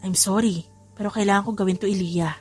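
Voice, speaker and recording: A woman playing a caring female friend who gently urges forgiveness in an audio romance story. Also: medium pitch, fairly even intonation, fast, husky, faint music behind, lightly acted. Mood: gently urging.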